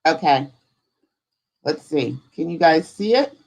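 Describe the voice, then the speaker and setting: Female livestream host, slow pace, casual and rambling delivery, informal chat with viewers. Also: sits low, expressive, gravelly, darkish tone, dull audio. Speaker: host of a live spirituality stream.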